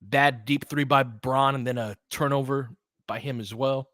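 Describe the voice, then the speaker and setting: A man's voice: medium pitch, steady tone, normal speed, a bit bright, no echo, studio quality. A man hosting casual live basketball commentary and analysis, thinking aloud in a conversational way. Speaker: host, fan play-by-play commentator.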